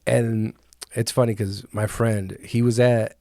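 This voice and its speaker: A man's voice: fairly low pitch, little pitch movement, measured pace, dark tone, no room sound, clean studio sound. A man hosting a casual podcast, rambling and joking as he tells stories and nerds out about music. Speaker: podcast host.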